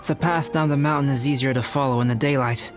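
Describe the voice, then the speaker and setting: A calm young alchemist painter from a video game, voiced by a man speaks in a soft, measured, courteous way. His voice sits at an ordinary middle pitch. Clear game music plays under him. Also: natural voice.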